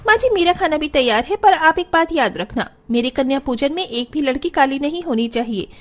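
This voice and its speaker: Woman voicing a very high-pitched, haughty young sister-in-law. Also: expressive, a little fast. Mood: demanding. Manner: scornful, bossy. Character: spiteful, colour-prejudiced young sister-in-law, haughty and demanding. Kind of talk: Hindi cartoon story dialogue.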